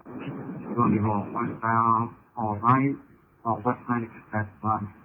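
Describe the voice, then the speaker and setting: A man's voice: on the low side, fairly even intonation, very slow. A male police officer in the field giving informal updates over a police radio transmission. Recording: tinny police radio with faint noise.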